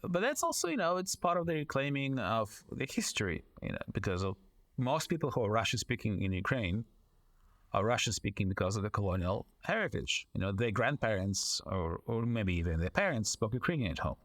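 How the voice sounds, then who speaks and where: Low-voiced man giving measured interview answers. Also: sing-song, fairly bright tone. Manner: measured, frequent "you know" fillers and restarts. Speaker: guest, foreign correspondent and author. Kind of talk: long thoughtful interview answers.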